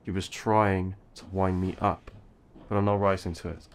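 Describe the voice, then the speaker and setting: An actor playing a bossy, responsible teenage eldest brother in an audio drama, stern and scolding. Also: male voice, low voice, flat delivery, moderate pace, close to an everyday voice.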